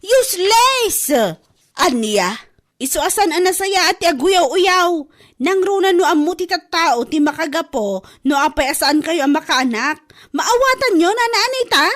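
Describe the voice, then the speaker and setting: A woman acting a sharp-tongued, scolding woman in a comedy audio drama, theatrical and exaggerated. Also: very high-pitched, sing-song, normal speed, heavily acted. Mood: exasperated.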